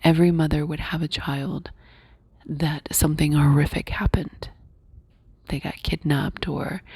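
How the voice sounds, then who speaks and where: A young woman whispering softly into a close mic as she hosts a spiritual podcast talk. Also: low voice, breathy edge, dry recording. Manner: soft whisper throughout. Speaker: podcast host.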